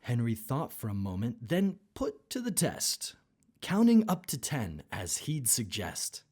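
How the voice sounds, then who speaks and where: A woman with a husky voice narrating a rhyming children's story expressively. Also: rumbling low, huge pitch swings, unhurried, a little mellow.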